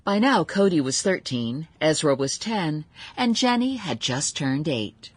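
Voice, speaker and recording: A wry narrator of a radio family saga. Her voice is very low and husky, with animated pitch, recorded dry. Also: measured pace, no room sound, heavily acted. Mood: wry, storytelling. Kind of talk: scripted dramatised narration.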